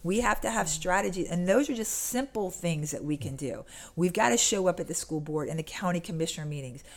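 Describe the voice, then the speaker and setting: A woman's voice: lowish voice, lively intonation, a little fast. An American woman speaking as an activist guest in a conversational interview, earnest and insistent, acting out little exchanges as she goes. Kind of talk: conversational interview talk with acted-out anecdotes.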